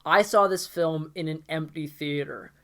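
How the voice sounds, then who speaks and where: A man's voice: fairly high pitch, moderate pace, fairly bright tone. A man co-hosting a casual podcast, wry and jokey, steering the talk between segments. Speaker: podcast co-host. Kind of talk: casual chat.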